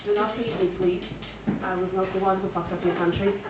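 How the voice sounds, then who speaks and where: A woman, performing a deadpan stand-up set full of fillers and restarts, recorded live at a venue. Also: mid-range voice, a little fast, background noise. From Russia. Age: 20s. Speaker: stand-up comedian. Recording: echoey lo-fi room recording from far off.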